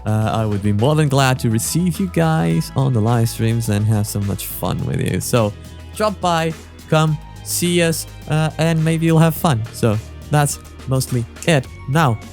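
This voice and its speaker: A man hosting a reaction video in a casual, rambling way, effusive and flowery, poking fun at himself. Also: a little high. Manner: effusive, flowery, self-deprecating.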